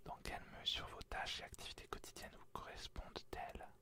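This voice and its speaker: A young man from France whispering through a casual vlog in a joking, self-mocking way. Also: brisk. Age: young adult. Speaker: freelance graphic designer. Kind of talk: whispered ASMR-style vlog.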